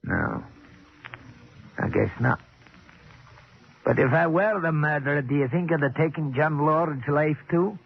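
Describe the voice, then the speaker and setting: An actor playing a nervous, cryptic old man in a 1940s radio drama, with a creaky, husky, halting voice. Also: fairly high pitch, lo-fi sound. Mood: pleading, cryptic. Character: nervous, cryptic old man, working-class club employee.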